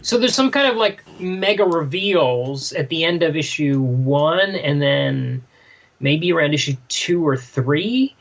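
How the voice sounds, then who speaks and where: Very high-pitched man, slightly dull sound. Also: animated, a little slow, creaky. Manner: questioning, thinking aloud in broken phrases. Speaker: podcast co-host. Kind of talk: casual discussion of a graphic novel.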